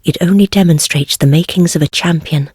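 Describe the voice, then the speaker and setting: A woman playing a wry, world-weary heretical witch in a fantasy game, speaking in measured, archaic phrases with gentle teasing. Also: lowish voice, no room sound, studio quality. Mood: soft, sincere. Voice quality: husky, breathy. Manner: measured, archaic formal speech, softly teasing. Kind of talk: scripted fantasy game voice acting.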